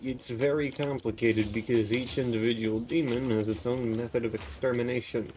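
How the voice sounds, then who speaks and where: A man's voice: mid-range voice, steady tone, moderate pace, a little mellow, soft background music, low-quality recording. A man giving casual live commentary as a game streamer, musing aloud and chatting to viewers. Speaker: streamer playing a video game.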